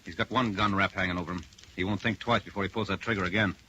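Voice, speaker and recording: An actor playing a brisk, authoritative police lieutenant in an old radio crime drama, terse and commanding. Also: male voice, sits low, steady tone, sharp tone, lo-fi sound. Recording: band-limited, noisy old radio recording.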